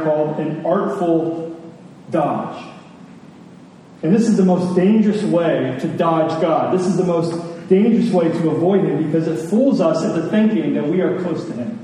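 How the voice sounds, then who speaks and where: A man's sermon carries through a very echoey hall, picked up at some distance from the microphone. His voice sits a little high with a soft, mellow tone. He teaches earnestly, leaning on rhetorical questions and repeated phrases for emphasis. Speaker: preacher. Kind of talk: sermon, teaching scripture to a congregation. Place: large reverberant room. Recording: echoey hall, distant mic, faint noise.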